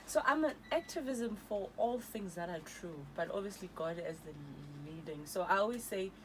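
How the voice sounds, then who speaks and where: A woman's voice: medium pitch, animated, normal speed, a bit bright, a little hiss or hum, low-quality recording. A South African woman in her thirties, speaking earnestly as a guest on a radio interview and switching languages mid-sentence. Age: 30s. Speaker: guest, author and evangelist. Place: radio studio.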